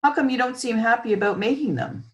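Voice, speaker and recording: Earnest, unsure boy read by a woman, lively pitch. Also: fast. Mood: curious. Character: earnest, unsure twelve-year-old boy, the story's hero.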